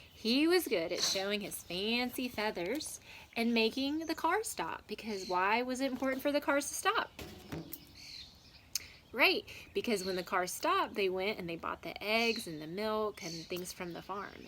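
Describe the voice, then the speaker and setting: A woman's voice: medium pitch, fairly bright tone. A woman teacher reading aloud and discussing with children in an instructive, questioning way, with some background noise. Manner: instructive, question-and-answer, checking understanding.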